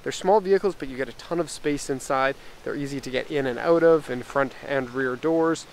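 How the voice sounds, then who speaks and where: A middle-aged man giving a casual, unscripted car walkaround, relaxed and thinking aloud, recorded on a handheld camera. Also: mid-range voice, fairly quick. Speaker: car exporter presenting a vehicle. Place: outdoors by a parked car and inside it. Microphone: handheld camera microphone. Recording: outdoor handheld camera, clear ambient noise.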